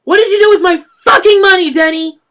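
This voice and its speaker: A woman's voice: rumbling low, steady tone, unhurried, a bit bright. A woman voicing a menacing male drug dealer who threatens, swears and breaks into screams. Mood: screaming.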